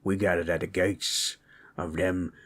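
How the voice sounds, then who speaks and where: A man voicing a gentle, proud orc warrior in an intimate audio roleplay, telling tales in a folksy, rough-hewn, husky way. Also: low voice, little pitch movement, measured pace. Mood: reminiscing. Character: gentle, proud male orc warrior storyteller, affectionate, teasing. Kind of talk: intimate comfort roleplay storytelling.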